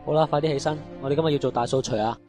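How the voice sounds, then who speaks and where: A male actor as a firm, protective prison guard in a radio drama. He is earnest and speaks extremely fast in an average male pitch. Music is clearly heard beneath him. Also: steady tone, close to an everyday voice. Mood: brisk. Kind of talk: amateur radio drama acting.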